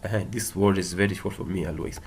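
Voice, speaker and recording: A man's voice: sits low, fairly even intonation, fast talker. A man with a Portuguese accent, a native Portuguese speaker, giving a casual step-by-step coding tutorial, often repeating words and checking in with short tags. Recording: clear background noise, keyboard typing and clicks. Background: computer keyboard typing and clicks.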